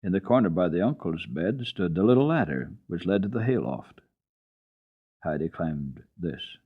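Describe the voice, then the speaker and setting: Low-voiced, animated male storyteller. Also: normal speed, breathy edge. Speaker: radio storyteller. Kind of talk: dramatic reading of a children's classic. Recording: dull lo-fi old radio recording.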